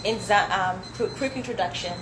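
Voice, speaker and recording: A woman's voice: mid-range voice, moderate pace. A young woman from Zambia with an African accent, a native Swahili speaker, performing spoken word poetry live. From Zambia, later the United States. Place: indoor dinner event with audience. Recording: echoey room with loud background noise.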